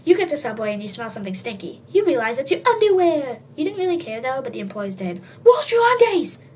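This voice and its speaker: A high, youthful voice reading a comic story aloud in a dramatic, tongue-in-cheek way. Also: sing-song, fast, darkish tone. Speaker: storybook reader. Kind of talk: comic read-aloud narration in second person. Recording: distant mic, faint background noise.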